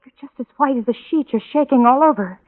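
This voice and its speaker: A woman's voice: high-pitched, fast talker. An actress playing a worried, protective young woman in an old-time radio drama, coaxing in an anxious, urgent way. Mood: alarmed, firm. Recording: tinny vintage radio recording with music.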